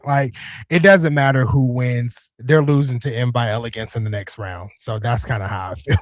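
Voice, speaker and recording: A man's voice: medium pitch, fairly even intonation, moderate pace, no echo, clean studio sound. A man co-hosting a casual wrestling watch-along stream, chatty and opinionated, repeating himself between fillers. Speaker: co-host.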